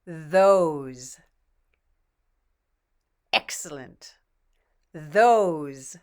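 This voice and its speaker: Slow, clear-voiced woman teacher. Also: deep voice, expressive. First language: English. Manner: models each word twice, patient and encouraging. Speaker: pronunciation teacher. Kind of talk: slow, deliberate pronunciation drill.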